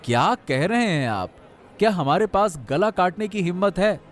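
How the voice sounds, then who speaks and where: A man's voice: high voice, expressive, normal speed, some chatter behind. A man voicing a humble, pleading village fishmonger in a cartoon story, deferential and coaxing. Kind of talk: Hindi cartoon moral story dialogue.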